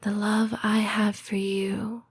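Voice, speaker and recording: A woman voicing a tender, patient lamia girlfriend in an intimate comfort roleplay, breathy and whispering, with measured, formal phrasing. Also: medium pitch, completely flat delivery. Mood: tender, reflective. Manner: slow, measured, formal phrasing with deliberate pauses. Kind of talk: intimate comfort roleplay audio, scripted monologue.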